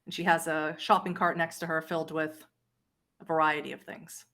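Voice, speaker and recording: A woman running a tabletop roleplaying game, narrating in a measured, descriptive manner. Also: low voice, flat delivery, pushed and bright. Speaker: game master.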